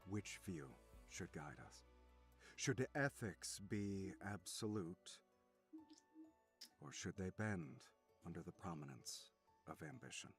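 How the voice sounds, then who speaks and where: A man playing a composed, severe lecturer in a visual novel, speaking with controlled, deliberate formal oratory. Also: low-pitched, very animated, soft background music, full character voice. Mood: measured, probing. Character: composed male lecturer, measured and severe about ethics.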